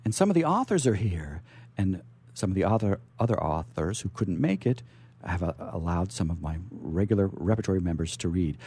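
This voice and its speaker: A man's voice: low voice, big pitch swings, a little fast, dark tone, breathy edge. A man hosting a radio show, chatting and introducing guests in an effusive, theatrical way. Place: radio studio.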